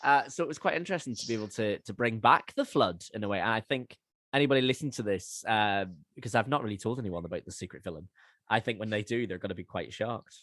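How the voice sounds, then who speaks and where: A man's voice: fairly low pitch, fast talker, fairly bright tone, dry recording. Man chatting, dry close recording. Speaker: audio drama writer-director and actor. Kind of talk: casual behind-the-scenes podcast chat.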